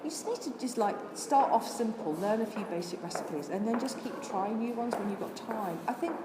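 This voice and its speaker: A woman's voice: fairly high pitch, lively intonation, a little fast. An older woman from Scotland who has lost her accent, answering interview questions in an earnest, chatty way and listing things off with many restarts. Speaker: interviewee, vegan charity worker. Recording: echoey room with noise.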